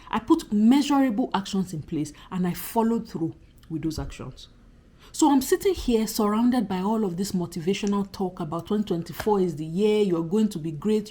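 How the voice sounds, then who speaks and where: A woman in her thirties with a slightly husky, croaky voice from a recent cold, chatting earnestly to her subscribers in a casual vlog at home. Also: lowish voice, a little mellow. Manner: earnest, chatty, emphatic repetition. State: recovering from a cold. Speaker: YouTuber talking to subscribers. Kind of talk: casual reflective vlog monologue.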